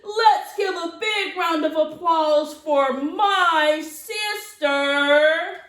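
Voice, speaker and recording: A woman puts on a jolly old Santa from a storybook, loud and hearty. The words are proclaimed proudly and without hurry, in a roomy echo. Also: extremely high pitch, very slow, voice pushed up, heavily acted. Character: Santa Claus, jolly old man praising his sister. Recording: roomy, echoey sound.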